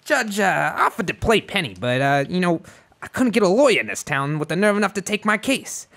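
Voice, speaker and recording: A man's voice: high-pitched, sing-song, fairly quick, fairly bright tone, exaggerated voice. A man voicing a male gangster on trial who talks in casual slang, in a comic radio play. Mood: gruff.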